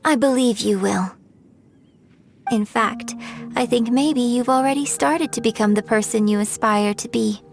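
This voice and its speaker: A woman voicing a refined, gentle young opera performer in a video game, polite, measured and earnest. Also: on the high side, creaky, faint music behind. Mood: earnest, encouraging.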